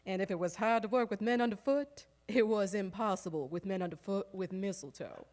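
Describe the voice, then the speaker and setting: A woman's voice: mid-range voice, brisk, darkish tone, natural voice. An actress performs a harried old-time Southern household woman in a live stage monologue. She is wry and proud, with a mid-range voice, and dwells emphatically on each detail as she reminisces. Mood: wry, exasperated. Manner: wry, emphatic, lingering over lists. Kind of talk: theatrical storytelling monologue on stage.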